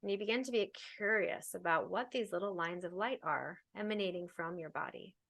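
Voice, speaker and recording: A woman's voice: lowish voice, creaky, fairly bright tone. Woman guiding a meditation. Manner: soothing, unhurried instruction with pauses. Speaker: guided meditation teacher. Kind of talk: guided meditation.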